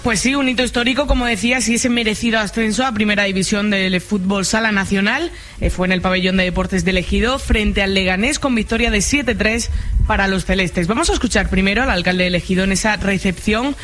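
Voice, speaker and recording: A woman's voice: medium pitch, brisk, a bit bright, soft background music. A woman with a Spanish accent from Spain reading radio reports and announcements in a scripted style.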